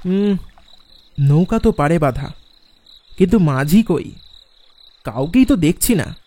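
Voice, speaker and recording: A man playing an anxious traveller who tells a horror story in the first person, dramatic and expressive. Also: a little high, a little slow. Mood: reflective, tense. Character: anxious male traveller recounting his night crossing.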